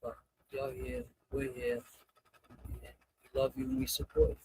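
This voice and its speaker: A man hosting a casual livestream, talking in a rambling, halting way and often breaking off mid-thought. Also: very high-pitched, very animated, vocal fry, warm tone, slight background noise, poor audio. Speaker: livestream host.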